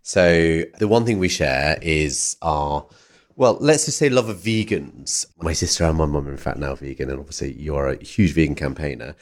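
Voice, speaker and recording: A man hosting an interview, asking questions in a relaxed way and laughing easily. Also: rumbling low, normal speed. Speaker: interview host. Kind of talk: casual interview chat.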